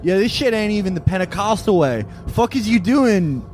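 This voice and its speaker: A man's voice: high-pitched, lively intonation, moderate pace, a little mellow, faint music behind. A brash man improvising comic role-play in street slang as a player in a tabletop game.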